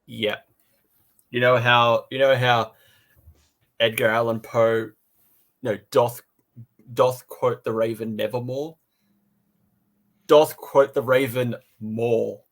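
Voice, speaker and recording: A man co-hosts a casual review chat for fellow fans. He is enthusiastic and jokey, and he tends to stumble and repeat words while getting his thought out. His pitch sits in an ordinary middle range for a man. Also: fairly even intonation, slow, pauses often. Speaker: podcast co-host and reviewer.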